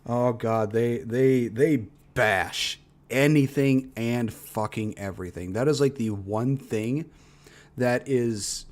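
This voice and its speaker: A man reacts to videos in a casual, opinionated way. His voice sits at an average pitch. He is blunt and peppers his commentary with profanity. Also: darkish tone. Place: livestream reaction recording.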